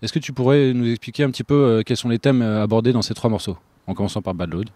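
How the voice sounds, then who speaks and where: A man's voice: medium pitch, steady tone, fast talker, mellow, a little hiss or hum. A man interviewing a musician, asking long, hesitant questions full of fillers.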